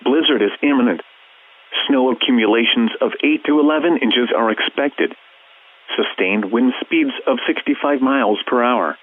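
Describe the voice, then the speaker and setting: A synthetic male voice with an American accent, formally and impersonally reading scripted emergency weather alerts like a radio announcer. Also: mid-range voice. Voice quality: synthetic text-to-speech voice. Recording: muffled, phone-like weather radio broadcast.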